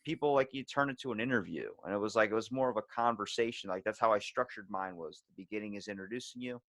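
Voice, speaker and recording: A man's voice: on the low side, fast, fairly bright tone. A man hosting a podcast in casual, profane conversation, rambling along and quoting himself as he tells stories. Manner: rambling, quotes himself in anecdotes. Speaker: podcast host.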